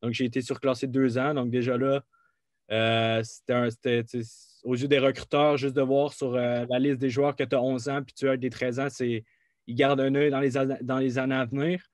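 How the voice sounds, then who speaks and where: A man's voice: mid-range voice, flat delivery, moderate pace, no room sound. Young Québécois man, guest athlete, hesitant, dry close recording. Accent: Québécois French. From Quebec. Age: young adult. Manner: hesitant, many fillers and restarts. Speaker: guest, college soccer player. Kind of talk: casual interview.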